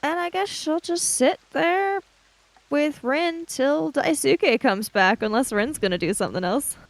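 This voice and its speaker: A woman's voice: sits high, expressive, unhurried, gravelly, no echo, poor audio. A woman playing a tabletop roleplaying game, casually describing her character in a tentative, trailing way.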